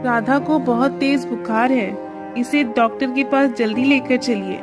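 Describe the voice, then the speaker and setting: A woman's voice: sits high, steady tone, a little slow, over music. A woman playing a poor, worried village wife and mother in a story, anxious and pleading.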